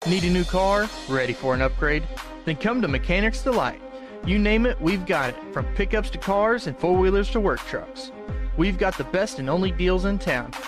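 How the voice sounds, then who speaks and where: A man's voice: lively intonation, normal speed, over music. A man playing a salesy announcer in a car dealership ad. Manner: salesy pitch.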